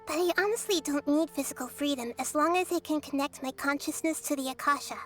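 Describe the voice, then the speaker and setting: Woman acting a young girl goddess, high soft voice, gentle, wise, measured formal game dialogue, music underneath. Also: close to an everyday voice. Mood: earnest, resolved. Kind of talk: scripted video game character dialogue.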